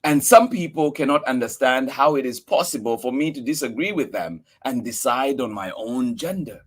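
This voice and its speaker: A man reading a picture book aloud for children, expressive and earnest, with emphatic delivery. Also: mid-range voice, normal speed.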